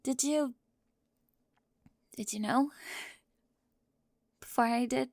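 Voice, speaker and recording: A woman playing an anxious, perfectionist teenage girl in an ASMR roleplay, halting and fragile, often dropping into a breathy whisper. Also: high voice, darkish tone, dry recording, studio quality, heavily acted. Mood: fragile, pleading. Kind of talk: ASMR roleplay audio drama.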